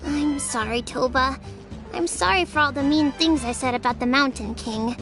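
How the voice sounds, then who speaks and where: A high-voiced sulky child. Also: female voice. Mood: apologetic. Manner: childlike, earnest. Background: game music.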